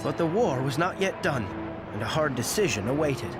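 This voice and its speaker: A man's voice: fairly high pitch, expressive, moderate pace, very noisy, lightly acted. A man voicing a kindly hobbit storyteller who narrates adventures in a fantasy video game, in a dramatic storybook style. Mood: dramatic, storytelling. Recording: loud music under the narration.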